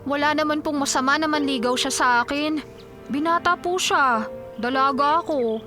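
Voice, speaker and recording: Woman as young polite daughter pleading, filipino radio drama, high voice, music behind her. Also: normal speed, pushed and bright. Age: young adult. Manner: polite, earnest. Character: respectful but pleading young daughter, defending her suitor.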